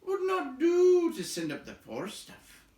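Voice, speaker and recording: A man's voice: extremely high pitch, huge pitch swings, takes it slowly, mellow, pitched above the performer's own voice, full character voice. A man voicing a jovial, hearty elf who is chief of the guards in a storybook. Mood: jovial, eager. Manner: laughing, hearty. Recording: roomy echo, distant from the mic.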